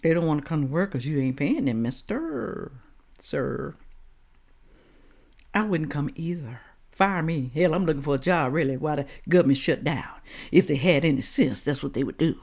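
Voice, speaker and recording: Female American reaction host, lower register, highly expressive swings, blunt and challenging delivery. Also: normal speed. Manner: confrontational, blunt, fires rhetorical questions. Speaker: host of a reaction commentary show. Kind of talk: opinionated reaction commentary addressed to viewers.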